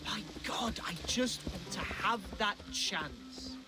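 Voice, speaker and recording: An actor playing a young, foolish, lovesick Saxon lord in a video game, with an English accent and a florid, earnest manner. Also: male voice, high-pitched, lively intonation, slow, in a whisper. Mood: solemn, pleading. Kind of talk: video game character acting.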